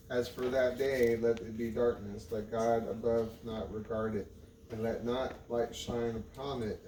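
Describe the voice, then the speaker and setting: A man reading scripture aloud in a halting way, often stumbling and going back over words. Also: mid-range voice, warm tone. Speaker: Bible reader. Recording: roomy, distant mic with faint noise.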